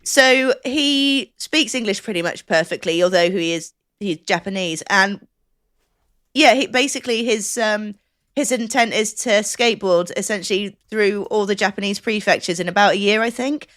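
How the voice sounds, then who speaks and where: A woman's voice: mid-range voice, normal speed, fairly bright tone, no room sound, clean studio sound. A woman chatting casually as a podcast co-host, explaining things in an enthusiastic, rambling way.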